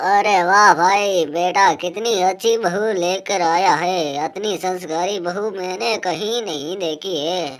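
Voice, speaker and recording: A woman's voice: on the low side, takes it slowly, few pauses. A woman voicing an elderly father-in-law bird in a children's cartoon, fussy and given to grumbling. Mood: pleased. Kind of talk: Hindi children's cartoon voice acting.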